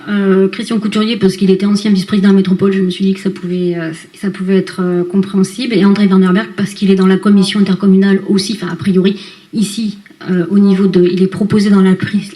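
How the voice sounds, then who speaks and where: A woman's voice: medium pitch, warm tone. A woman speaking as a local council official, explaining procedural items in a formal but unscripted meeting style.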